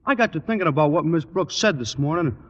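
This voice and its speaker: An actor playing a dim, good-natured young athlete in a 1950s radio sitcom, rambling and ungrammatical. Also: male voice, a little high, animated, a bit bright. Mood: dopey. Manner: slow-witted, rambling, ungrammatical. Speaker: student athlete. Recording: dull old radio recording, reverberant studio.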